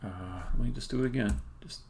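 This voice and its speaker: A man thinking aloud while live-coding as a game developer, halting and muttering to himself, with keyboard typing and clicking around him. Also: fairly low pitch. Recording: faint background noise, keyboard typing. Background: computer keyboard typing and clicking.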